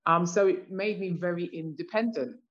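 A woman's voice: fairly low pitch, normal speed, dull audio. A British woman in her fifties talking reflectively in a casual conversation, with many "you know" asides. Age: 50s. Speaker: discussion participant.

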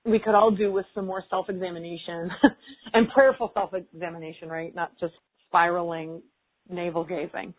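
A woman's voice: lowish voice, steady tone, moderate pace. A woman talking casually and reflectively, with frequent filler words. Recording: tinny phone-line sound.

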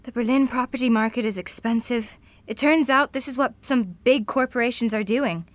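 A woman's voice: on the high side, normal speed, no room sound, close to an everyday voice. A woman playing a thoughtful, idealistic futurist in an audio drama, speaking in a reflective, earnest manner.

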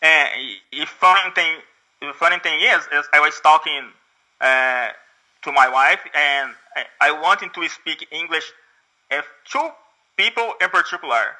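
A man's voice: fairly high pitch, measured pace, pushed and bright, low-quality recording. A Brazilian man with a Portuguese accent, a guest learning English, speaking hesitantly and searching for words. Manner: hesitant, many fillers and restarts, searching for words. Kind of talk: casual conversation.

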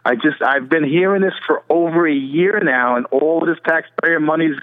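A man's voice: on the high side, moderate pace. An American man calling in to talk radio over the phone, casually debating politics in a skeptical, dismissive way. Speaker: phone-in caller. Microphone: telephone. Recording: narrow, tinny phone line.

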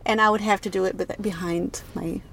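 A woman's voice: mid-range voice, fairly even intonation, fairly quick, gravelly, a bit bright, slight background noise. A young adult woman from Egypt, chatting candidly as a podcast guest, laughing often and restarting her sentences. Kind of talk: casual interview chat.

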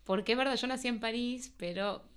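A woman's voice: fairly high pitch, brisk, clean tone. Laughing, playful Argentine woman. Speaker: artist guest. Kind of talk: casual interview talk.

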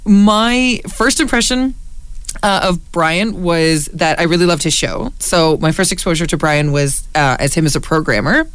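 A woman, talking loudly and casually in a radio studio, full of fillers and restarts. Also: normal speed, clear, resonant tone, no echo. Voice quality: deep voice. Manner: loud talker with many fillers and restarts. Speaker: community radio station staff.

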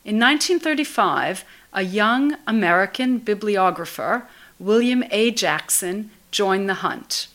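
A woman's voice: mid-range voice, a bit bright. Woman narrating a written obituary from a script, normal midrange voice.

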